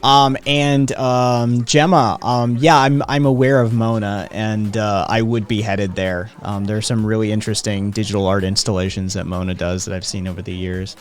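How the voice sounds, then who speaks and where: A man's voice: fairly low pitch, fairly even intonation, moderate pace, few pauses. A man hosting a live-streamed talk show, speaking casually with many hesitations and answering viewers' comments. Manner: hesitant, many "um"s, reads chat aloud. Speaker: podcast host. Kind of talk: casual chat.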